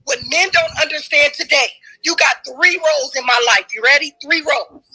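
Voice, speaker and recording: A woman's voice: high-pitched, moderate pace, poor audio. A woman arguing in a heated debate, emphatic and challenging, and losing her voice. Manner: forceful, emphatic, challenging questions.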